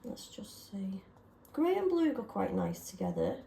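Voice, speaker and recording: A woman chatting casually and self-deprecatingly while testing paint colours, slightly hoarse from a sore throat. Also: mid-range voice, huge pitch swings, measured pace, dark tone, reverberant. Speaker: craft vlogger.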